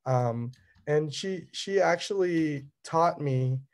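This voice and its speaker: A young man, an artist, presenting his work over slides, speaking in a halting way full of ums and restarts; Cantonese was his first language. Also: on the high side. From Southern California. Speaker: resident artist.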